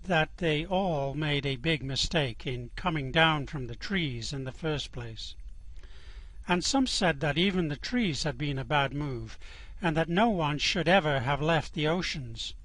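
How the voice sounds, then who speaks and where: A man narrates a radio play in a scripted storytelling style. His voice sits a little high, and the sound is fairly dry. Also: fairly bright tone, no echo.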